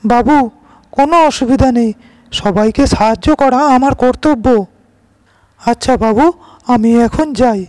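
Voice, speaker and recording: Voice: medium pitch, a little slow, pauses often, dry recording, natural voice. An actor voicing a humble, earnest poor village labourer in a cartoon moral story, speaking respectfully. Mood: humble, polite. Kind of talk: Bengali animated moral story dialogue. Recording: loud music under the voice.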